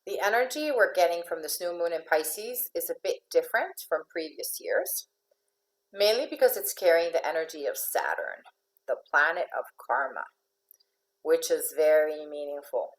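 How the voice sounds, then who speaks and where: A low-pitched woman from Finland who grew up in Venezuela talks to an online audience as an astrological life coach. She speaks loosely and conversationally, with frequent fillers and restarts. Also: animated, moderate pace, rough recording. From Finland, raised in Venezuela. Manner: chatty with many fillers and restarts, laughs often. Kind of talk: informal talk to an online audience.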